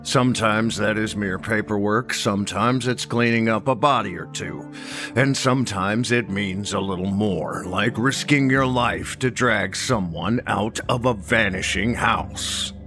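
A male actor as an old small-town sheriff in a horror audio drama. He speaks slowly in a lowish voice, folksy and matter-of-fact. The menace is quiet and stated plainly, with faint music underneath. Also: close to an everyday voice. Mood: firm.

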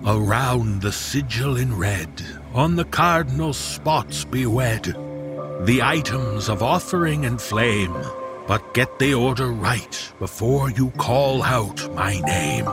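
A man playing an ominous spirit in a radio horror drama, solemnly intoning ritual rhymes in a gravelly voice. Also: mid-range voice, lively intonation, over music. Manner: solemn, incantatory, deliberate. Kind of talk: old time radio horror acting.

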